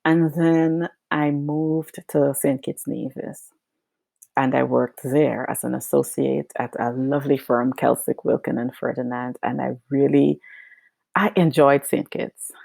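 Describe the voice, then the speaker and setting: Low gravelly woman. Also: steady tone, a little slow, no echo. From Guyana. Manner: warm affirming, repeats "yes" often. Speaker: guest. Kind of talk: casual interview conversation.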